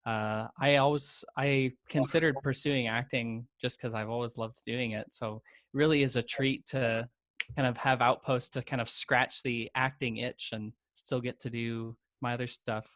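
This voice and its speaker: Man, medium pitch, casual and halting, dry close mic. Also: steady tone, a bit bright, no echo. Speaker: volunteer voice actor. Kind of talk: casual panel chat on a live stream.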